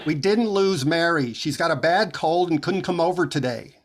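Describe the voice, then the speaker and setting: An actor playing a fussy, stingy, self-justifying boss in a 1940s radio comedy. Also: male voice, a little high, moderate pace, lightly acted. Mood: fussy, defensive. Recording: noticeable background noise.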